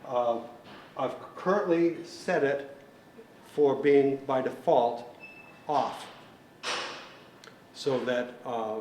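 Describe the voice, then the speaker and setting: A distant, echoey recording of a man with a middle-pitched voice, caught in a large hall with faint noise. He gives a technical tutorial in a plain, methodical manner, explaining equipment step by step. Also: drawn-out words, long pauses, a little mellow. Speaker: technician instructor. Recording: roomy echo, distant mic, faint noise.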